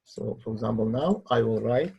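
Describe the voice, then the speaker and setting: A man who speaks English as a second language narrates a software tutorial as a researcher, in a halting way with hesitant pauses. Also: mid-range voice, expressive, vocal fry, dull audio, a little hiss or hum. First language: not English. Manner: halting, non-native phrasing with hesitant pauses. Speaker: researcher demonstrating own software. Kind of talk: tutorial screen-recording narration.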